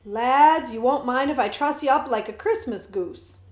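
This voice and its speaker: A wheezing, rattle-boned outlaw bellows after his quarry, as a woman reading a storybook aloud puts him on. The voice sits high, rough and loud. It rings in an echoey room. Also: moderate pace, close to an everyday voice. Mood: mocking. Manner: gruff, rough-spoken and loud. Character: wheezing skinny male outlaw, rough and blustering.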